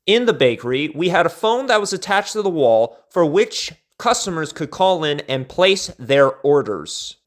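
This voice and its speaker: A man narrating a scripted personal story as a storyteller, with theatrical, measured phrasing. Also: on the high side, big pitch swings.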